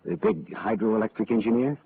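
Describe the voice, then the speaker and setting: A man's voice: on the low side, fairly even intonation, fairly quick, slightly rough. A man acting a wry, tough American private detective who wisecracks and narrates his case in 1940s radio drama style. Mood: sardonic. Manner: wisecracking, sardonic. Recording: tinny, noisy 1940s radio recording.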